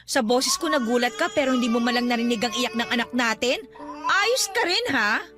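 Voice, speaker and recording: A woman with a Filipino accent playing an exhausted, sharp-tongued young mother in a radio drama, scolding and sarcastic. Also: fairly high pitch, expressive, brisk, lightly acted. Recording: music behind the dialogue.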